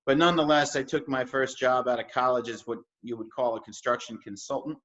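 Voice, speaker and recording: A man's voice: mid-range voice, steady tone, brisk, fairly bright tone. A man who grew up in New York, speaking as an interview guest in a casual, easygoing storytelling way. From New York, United States. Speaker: interview guest, construction analytics CEO. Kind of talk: casual conversational personal storytelling. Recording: dull, lo-fi sound.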